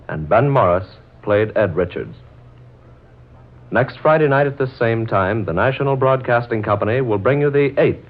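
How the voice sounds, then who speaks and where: A man with an American accent announcing from a script in 1940s radio style. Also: mid-range voice. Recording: muffled 1940s radio broadcast.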